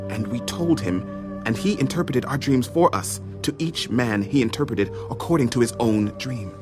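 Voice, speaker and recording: A man's voice: mid-range voice, animated, moderate pace, natural voice. Man acting a humble, contrite royal servant over music. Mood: contrite, recounting. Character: contrite chief butler of Pharaoh, humble male servant. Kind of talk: dramatized audio Bible acting. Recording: studio audio drama with music underneath.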